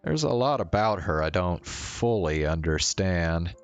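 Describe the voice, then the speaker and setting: A man reading a visual novel aloud as a streamer, in measured narration with the odd stumble. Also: sits low, sing-song. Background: faint music.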